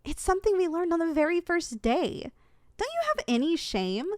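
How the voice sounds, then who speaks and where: A woman's voice: extremely high pitch, big pitch swings, normal speed, no room sound, clean studio sound. A young woman playing a possessive, easily flustered succubus student in an ASMR audio roleplay, blunt and indignant in manner. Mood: outraged, protective.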